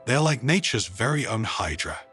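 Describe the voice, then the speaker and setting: A man's voice: mid-range voice, sing-song, moderate pace, fairly bright tone, breathy edge, faint music behind. A man voicing an enthusiastic woman scientist cheerfully explaining things in a narrated sci-fi story. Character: enthusiastic female scientist presenter.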